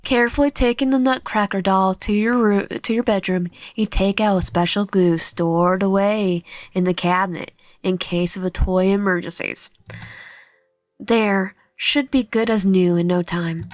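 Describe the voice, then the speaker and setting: A woman reading visual novel game text aloud in a halting way, often stumbling and correcting herself. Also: medium pitch, fairly even intonation, dry recording.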